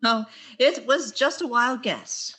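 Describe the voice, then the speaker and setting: A woman's voice: a little high, takes it slowly, a bit bright, husky, full character voice. A woman playing a wry, put-upon schoolteacher in a radio sitcom, with a dry, wisecracking delivery. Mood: dryly resigned. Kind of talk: radio sitcom acting and narration.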